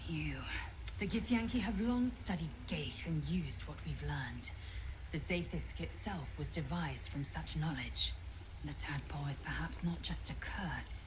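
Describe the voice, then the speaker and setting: Fantasy game role: proud, devout alien warrior woman, low-pitched, imperious and declamatory, over faint ambience. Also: expressive, measured pace, background noise. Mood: grimly resolved. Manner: clipped, imperious, formal, declamatory. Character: fierce, proud female alien githyanki warrior, scornful and devout. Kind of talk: dramatic fantasy game acting.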